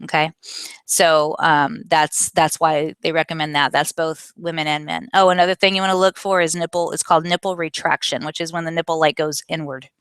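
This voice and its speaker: A woman in her forties with a notably low, very clear and smooth voice. She talks casually and candidly, explaining her answers to viewers and correcting herself along the way. The sound is close and dry. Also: little pitch movement, fairly quick, a bit bright, dry recording. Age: 40s. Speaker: vlogger answering viewer questions. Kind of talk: casual question-and-answer talk.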